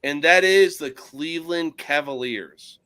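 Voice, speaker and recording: A man from North holds forth on a sports podcast, blunt and profane, laughing easily. His voice sits high and bright and swings widely in pitch. He talks slowly and takes his time. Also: clear, resonant tone. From North Jersey, USA. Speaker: podcast guest. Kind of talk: casual sports podcast chat.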